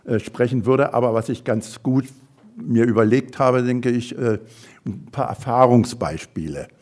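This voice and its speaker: An older man from Berlin delivers an invited talk at an evening event. He speaks in an everyday mid-range voice and thinks aloud, pausing on fillers and restarting his sentences. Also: medium pitch, a little mellow. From Berlin, Germany. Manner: thoughtful, hesitant with many fillers and restarts. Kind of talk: lecture talk.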